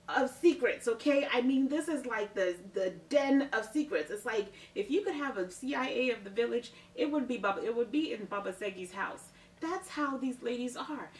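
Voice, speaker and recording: A woman in her thirties giving a casual, emphatic book review from her living room couch, often checking in with "okay?". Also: medium pitch. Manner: animated, emphatic, tags sentences with "okay?". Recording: roomy, distant mic.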